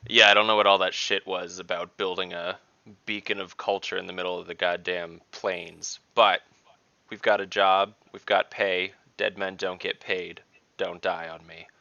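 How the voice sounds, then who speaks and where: A surly mercenary leader in a tabletop game, acted by a man, barking blunt, sweary orders. The voice is low and gravelly with a hard edge, over slightly dull, dry online call audio. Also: little pitch movement, moderate pace, pushed and bright, dry recording, full character voice. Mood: gruff, commanding. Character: gruff, foul-mouthed, surly male mercenary leader.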